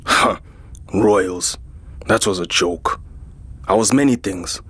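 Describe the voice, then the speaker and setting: A man's voice: low voice, slow pace, fairly bright tone, husky, dry recording, full character voice. A man playing a jaded, vain warrior and security chief, narrating a science fiction audio drama with sardonic weariness. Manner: sardonic, world-weary. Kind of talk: first-person scripted sci-fi narration.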